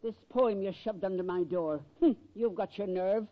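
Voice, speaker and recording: A woman's voice: mid-range voice, moderate pace, close to an everyday voice. An actress playing a brassy, motherly older landlady, scolding yet kindly, in a 1940s radio sitcom. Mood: indignant. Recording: dull 1940s radio recording.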